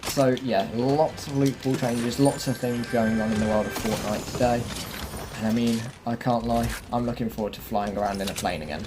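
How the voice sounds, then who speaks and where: Man, medium pitch, casual game commentary, noticeable background game noise. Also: fairly even intonation, normal speed. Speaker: gaming video host. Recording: clear game noise behind the voice. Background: game ambience and vehicle noise.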